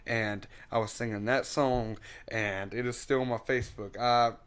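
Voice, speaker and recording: A man talking as an interview guest in a relaxed, rambling way, with lots of fillers and frequent laughs. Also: mid-range voice, normal speed, smooth. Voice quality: loud singing voice. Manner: laughs often. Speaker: interview guest, singer and guitarist. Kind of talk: casual interview chat.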